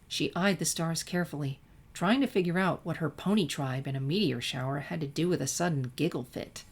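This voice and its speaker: A woman reading fan fiction aloud in expressive, scripted story narration. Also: low voice, a little fast, breathy edge.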